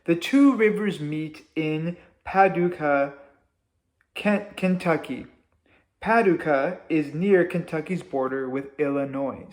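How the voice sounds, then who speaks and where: A man reads aloud to children as a teacher would. His voice sits a little high and he goes very slowly, with deliberate, expressive emphasis on the words. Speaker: teacher reading a story to children. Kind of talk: read-aloud storytelling for children.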